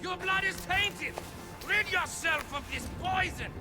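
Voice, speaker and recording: A cold, unforgiving elder of ancient times in a video game cutscene. He declaims accusingly in a very high-pitched voice over prominent music. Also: measured pace. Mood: condemning. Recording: loud music under game audio.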